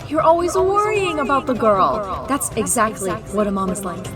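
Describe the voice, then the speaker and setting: High-voiced encouraging female mentor played by a woman. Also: big pitch swings, moderate pace, loud music behind the voice. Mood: teasing. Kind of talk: amateur audio drama acting.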